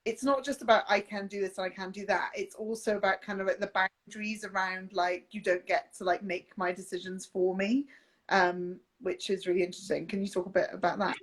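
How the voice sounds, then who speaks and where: Interviewer, woman. Casual, lots of fillers, easygoing questions. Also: medium pitch.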